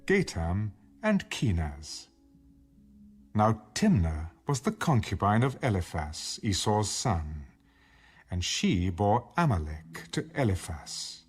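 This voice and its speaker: A man giving a formal, measured scripted narration of scripture, as in an audio Bible. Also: lowish voice, sing-song, slightly rough. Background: background music.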